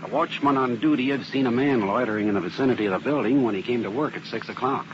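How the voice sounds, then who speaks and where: A man's voice: medium pitch, fast talker, natural voice. A man playing a methodical arson expert. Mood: explanatory. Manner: methodical, explanatory. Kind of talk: 1950s radio drama acting. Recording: muffled old radio broadcast with hiss.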